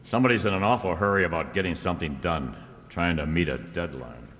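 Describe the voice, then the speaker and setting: A hard-boiled, wisecracking male private investigator from a radio play, acted by a man. His voice is low and forceful, his talk clipped and slangy. It rings in a reverberant hall. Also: fast talker. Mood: brooding, determined. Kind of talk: old-time radio detective drama acting. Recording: reverberant hall, stage-like sound.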